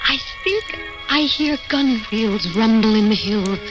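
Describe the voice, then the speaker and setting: An actress playing the tender imagined voice of a captive's beloved, measured and dreamlike, in vintage radio horror style. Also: female voice, on the high side, expressive. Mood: rapt, visionary. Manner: measured, earnest, dreamlike delivery. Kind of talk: 1940s radio horror acting. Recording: vintage radio recording with background music.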